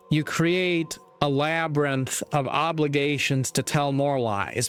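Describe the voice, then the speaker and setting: A man delivers a reflective moral monologue at a slow, measured pace. His voice is clean and resonant, and he breaks his thoughts into short, deliberate phrases. Soft music sits faintly underneath. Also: a little high, clean tone. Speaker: commentator giving a moral talk.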